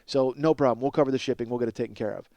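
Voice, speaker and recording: A man's voice: medium pitch, racing, creaky, warm tone, dry recording, highs cut off. A man in his forties hosting a comedy podcast, telling a rambling, self-deprecating story with profanity and acted-out dialogue. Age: 40s. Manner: rambling, self-deprecating, acts out both sides of conversations. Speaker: podcast host.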